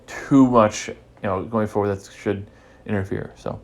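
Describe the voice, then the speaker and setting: A man's voice: lowish voice, steady tone, measured pace. A stuffy, congested man hosting a casual podcast recap, rambling and repeating himself as he thinks. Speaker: podcast host.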